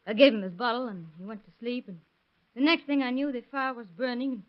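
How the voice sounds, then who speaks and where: Actress as frightened young wife, highish voice, halting, pleading, grieving, old radio melodrama, muffled lo-fi sound. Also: female voice, fairly even intonation, lightly acted. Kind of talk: 1930s radio crime drama melodrama. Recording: dull lo-fi vintage radio recording.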